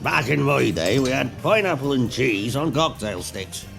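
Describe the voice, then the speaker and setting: A man playing a grumbling, deluded older servant with a gravelly voice in an audio pantomime. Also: mid-range voice, lively intonation, moderate pace, fairly bright tone, faint music behind.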